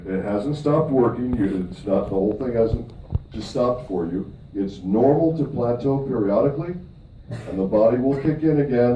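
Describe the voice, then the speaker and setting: A middle-aged man from Michigan gives a seminar talk to an audience, emphatic, with upward swings on key points and frequent check-ins of "okay?" with the room. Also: mid-range voice. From Michigan, USA. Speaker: presenter at a company event. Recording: distant, echoey, dull audio with faint noise.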